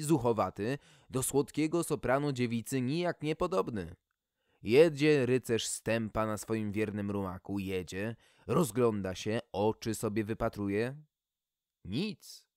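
A man's voice: medium pitch, a little mellow, no room sound, clean studio sound. A man reading a humorous fairy tale as podcast host, in a theatrical, folksy storytelling manner.

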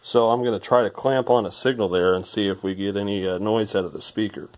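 A man's voice: lowish voice, fairly even intonation, fast, darkish tone. A man giving casual, hands-on repair commentary as a hobbyist, thinking aloud in an informal way. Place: indoor workbench.

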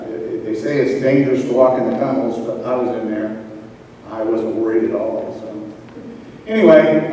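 An older man from a small town giving an informal local history talk in a relaxed, halting way with many fillers. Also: mid-range voice, slight background noise. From Wellington, Kansas. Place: large school auditorium. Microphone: handheld mic, recorded from a far camera. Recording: echoey hall from far off.

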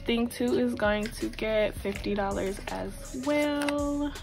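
A woman talks slowly and unhurriedly, her sore throat keeping her voice low. She counts money aloud in a chatty, casual way. Clear music plays behind her. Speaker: budgeting channel host. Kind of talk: casual voice-over while counting cash. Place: tabletop at home. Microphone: overhead camera, hands only.

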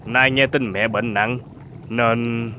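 An actor playing a polite, grateful young traveller in an animated folk tale, speaking earnestly. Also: male voice, medium pitch, slow pace, a little hiss or hum. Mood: earnest, wistful.

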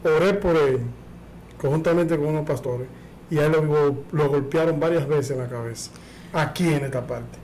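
Guy on a studio panel, pushing back hard, slightly high voice, faint hiss. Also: normal speed, darkish tone, poor audio. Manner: insistent, argumentative. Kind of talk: studio debate.

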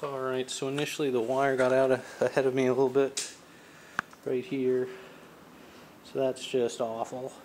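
A man giving a casual, halting, self-deprecating hands-on demonstration as a hobbyist vlogger. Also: mid-range voice, little pitch movement. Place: garage workshop. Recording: clear ambient workshop noise.

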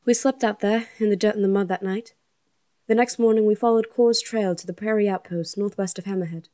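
A woman's voice: mid-range voice, fairly even intonation, a little fast, warm tone, dry recording, clean studio sound, lightly acted. A woman playing a fiery, grieving young princess and protective twin sister in an audio drama. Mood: wistful. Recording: music under the voice.

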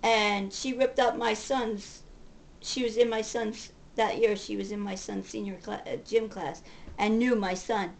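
A woman's voice: medium pitch, steady tone, unhurried, vocal fry, a little hiss or hum. A middle-aged woman ranting casually in a combative, sarcastic manner, recorded on a webcam in a home kitchen. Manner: agitated, combative, sarcastic, profane. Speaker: vlogger. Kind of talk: confrontational ranting to camera, casual.

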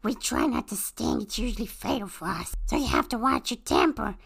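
A woman putting on a raspy, strained, squawky cartoon creature voice to read out a script, playing every part. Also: mid-range voice, wildly swooping pitch, brisk, a bit bright. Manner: exaggerated, cartoonish. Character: Toad, small squawky excitable cartoon mushroom creature. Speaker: performer reading a film script aloud. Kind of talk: character reading in a put-on voice, playing every part.

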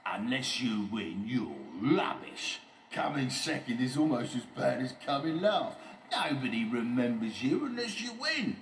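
A man voicing a boastful, sneering bully of a lorry who gloats slowly in a children's story. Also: mid-range voice, big pitch swings, roomy sound, recorded from a distance, a little hiss or hum, voice pushed up. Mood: gloating.

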